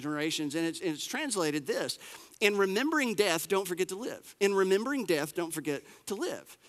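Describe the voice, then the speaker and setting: A pastor delivers a sermon in a high, bright voice. He preaches with lively emphasis, repeating phrases and dropping casual asides. His voice rings through a hall with a long reverb. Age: 40s.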